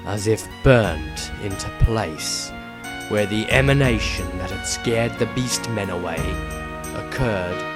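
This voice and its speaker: A man reading a fantasy story aloud as a solemn, measured narrator in a dramatic scripted style. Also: lowish voice, over music. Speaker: author reading own fiction aloud.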